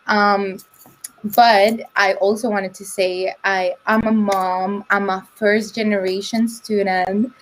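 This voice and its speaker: A woman's voice: mid-range voice, flat delivery, slow pace, a little hiss or hum, low-quality recording. Young woman, English, casual presenter with a chatty, filler-heavy delivery, video-call audio. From El Salvador. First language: Spanish. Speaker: conference presenter.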